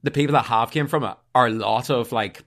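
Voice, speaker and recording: A young man hosts a relaxed podcast at an average pitch. He asks long questions that wander and are full of fillers, and he laughs easily along the way. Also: brisk. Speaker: podcast host. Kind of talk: casual podcast interview.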